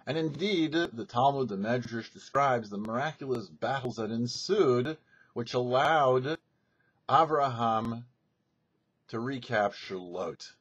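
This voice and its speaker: A man speaking as a rabbi and show host, giving a scripted religious lesson in an emphatic, didactic way with deliberate phrasing and rhetorical questions. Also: mid-range voice, fairly bright tone, slightly rough, dull audio. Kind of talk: scripted religious teaching, sermon.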